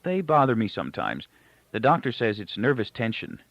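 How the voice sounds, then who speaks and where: A man reading the part of an earnest, soft-spoken young male student who explains things in a measured, thoughtful way. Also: medium pitch, wildly swooping pitch, no echo. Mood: subdued. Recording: dull, lo-fi, close dry recording.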